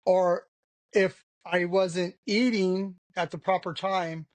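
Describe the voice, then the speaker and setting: A man's voice: high voice, fairly even intonation, slow, no echo. A man talking frankly as an interview guest, rambling with lots of "you know" fillers. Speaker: podcast guest. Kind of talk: candid conversational interview.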